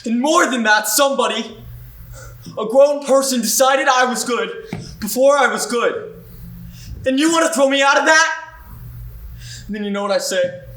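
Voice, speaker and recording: Guy doing a fired-up young student, really high bright voice, pushing back hard, roomy room far from the mic. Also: moderate pace, pauses often. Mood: resolute. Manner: confrontational, rising to shouts. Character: defiant young male student, proud and hurt. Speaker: actor performing an audition monologue. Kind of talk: dramatic monologue.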